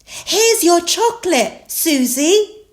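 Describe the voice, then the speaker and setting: Woman playing a high-pitched eager little piglet girl. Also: slow pace, echoey room, heavily acted. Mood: eager, proud. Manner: childlike, excitable.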